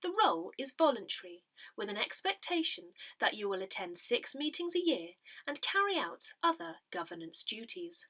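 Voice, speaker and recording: A woman reading a parish newsletter aloud from a script, in a measured, carefully enunciated way. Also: a little high, very animated, sharp tone. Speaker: newsletter reader.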